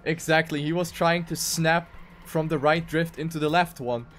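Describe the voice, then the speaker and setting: A man's voice: a little high, steady tone, moderate pace, a bit bright, slight background noise. A man giving live commentary on a video game race.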